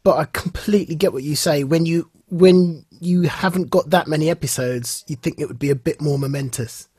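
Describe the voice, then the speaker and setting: A man's voice: a little high, brisk, a little mellow, husky, no room sound, studio quality. A man co-hosting a podcast, chatting casually about shows in an enthusiastic, emphatic way. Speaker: podcast co-host. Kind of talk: casual chat, reviewing TV shows.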